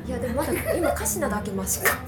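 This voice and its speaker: A woman's voice: high-pitched, expressive, very fast, far from the mic, a loud crowd. A chatty, giggly woman hosting a casual group after-talk in a karaoke room. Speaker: host and writer of an amateur radio drama, leading the after-talk. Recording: echoey karaoke room, neighbour singing behind.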